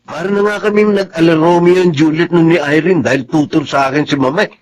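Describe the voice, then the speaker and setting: An actor playing an earnest, emotional soldier and family man in a Filipino radio drama, with a husky Filipino-accented voice. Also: fairly high pitch, steady tone, quick pace, lightly acted. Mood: conversational, wistful. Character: soldier, young family man, devoted to comrade and children.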